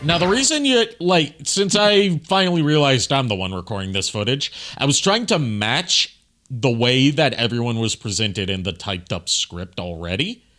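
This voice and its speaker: A man's voice: medium pitch, big pitch swings, moderate pace, a bit bright. A man giving casual commentary over a video as a streamer, explaining things in a rambling way. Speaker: streamer, amateur voice actor.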